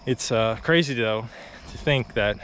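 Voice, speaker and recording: A man's voice: mid-range voice, unhurried, slight background noise. A young man chatting casually with many fillers as he walks a rural road, a little out of breath, filmed on a camera held at arm's length. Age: young adult. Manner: relaxed and chatty, with many fillers and short laughs. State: slightly out of breath from walking uphill. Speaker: vlogger. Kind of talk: casual walking vlog. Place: outdoors on a quiet rural road, walking. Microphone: phone or action camera held at arm's length.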